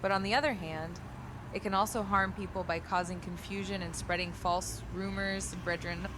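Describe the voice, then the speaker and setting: A synthetic female text-to-speech voice reading viewer messages and its own overly formal replies in a flat way. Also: fairly low pitch, moderate pace, background noise.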